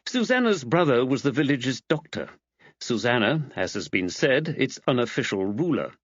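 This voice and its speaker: A man's voice: medium pitch, big pitch swings, moderate pace, dry recording. A man reading a scripted mystery audiobook as its narrator, on slightly dull audio.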